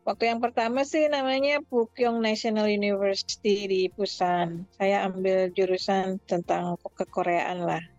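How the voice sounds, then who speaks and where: A woman's voice: mid-range voice, steady tone, unhurried, darkish tone, no room sound, soft background music. A middle-aged woman from Yogyakarta with an Indonesian accent, answering interview questions conversationally in lo-fi audio. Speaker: interview guest, restaurant owner.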